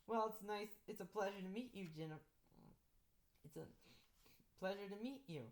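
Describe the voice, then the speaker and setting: A woman acts out a young new teacher in a school story. She is polite and friendly, with a raised pitch. She speaks in an earnest, courteous way. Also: moderate pace, lightly acted.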